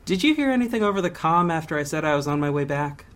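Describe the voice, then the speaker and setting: A man speaking drama dialogue in a brisk, evasive, joking way. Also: a little high, expressive, fairly bright tone, smooth. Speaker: lead character in dialogue.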